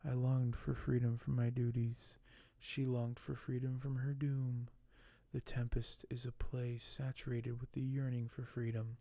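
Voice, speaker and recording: Male ASMR creator, hushed and whispery, crackly low-energy fry, very dry close-mic sound, slow halting reading. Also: level tone, no echo. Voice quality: hushed, soft, often whispered. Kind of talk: ASMR unboxing and reading aloud. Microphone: close microphone.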